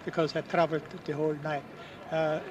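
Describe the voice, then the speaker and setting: An elderly man in his seventies, born in Austria, recalls his past in an earnest, halting way. His voice sits a little high and is picked up from some distance outdoors, with clear street noise around him. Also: flat delivery, highs cut off. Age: 70s. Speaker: war veteran interviewee. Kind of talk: outdoor interview, recounting memories. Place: outdoors at a public ceremony. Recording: distant mic, noisy outdoors.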